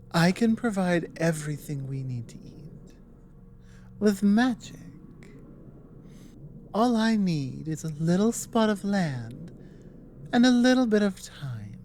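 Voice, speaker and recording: A woman playing a weary, earnest dragon ally with magic in an ASMR audio roleplay, speaking in a measured, halting way. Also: low voice, dark tone, slight background noise. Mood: earnest, reassuring.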